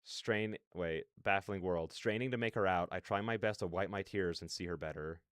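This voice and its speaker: Low-voiced man streaming a game. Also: normal speed, a bit bright, clear, resonant tone, no echo, clean studio sound. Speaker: streamer reading a visual novel aloud. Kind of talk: dramatic read-aloud narration with casual reactions.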